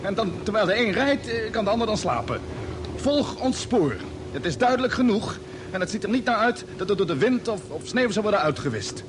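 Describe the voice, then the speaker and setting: High-voiced, commanding space captain. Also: male voice, very animated. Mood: commanding, brisk. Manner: authoritative, measured, giving clipped orders. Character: calm, commanding male space expedition captain on Mars. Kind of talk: old Dutch radio drama acting. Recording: noisy old radio drama, vehicle rumble.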